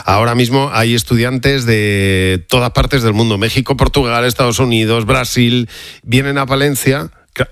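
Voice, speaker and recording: A man's voice: mid-range voice, fairly bright tone, no echo, studio quality. A man with a Castilian Spanish accent presenting in a chatty, emphatic, conversational broadcast style. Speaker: radio host.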